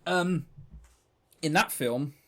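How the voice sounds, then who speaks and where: A man's voice: a little high, extremely slow, sharp tone. Chatty, rambling male podcast co-host. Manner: rambling, chatty, stammering restarts. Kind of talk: casual game review, retelling a story.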